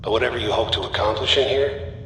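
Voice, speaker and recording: An actor playing a hardened, smug mercenary villain in a video game, taunting and unhurried in a coolly threatening way. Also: male voice, medium pitch, steady tone, fairly bright tone, close to an everyday voice. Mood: cool menace. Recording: distant, echoey, noisy game audio.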